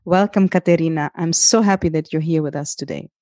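A woman hosting a podcast, reading a measured introduction and asking her guest questions. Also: low voice, dark tone, no room sound, clean studio sound. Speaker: podcast host. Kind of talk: scripted introduction and interview questions.

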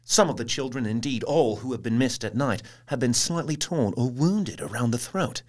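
Actor as formal Victorian newspaper reader, mid-pitched. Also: male voice, lively intonation, moderate pace, natural voice. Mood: sober reporting. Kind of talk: formal dramatic news reading.

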